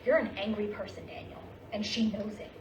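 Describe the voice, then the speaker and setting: Echoey, distant actress as an anxious, sharp-tongued wife. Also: female voice, mid-range voice, fairly even intonation, a little fast, natural voice. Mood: heated, accusing. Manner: clipped, argumentative, pointed. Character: anxious, sharp-tongued wife and mother, grieving her own mother. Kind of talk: staged play reading, naturalistic dramatic dialogue. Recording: distant, echoey room with clear background noise.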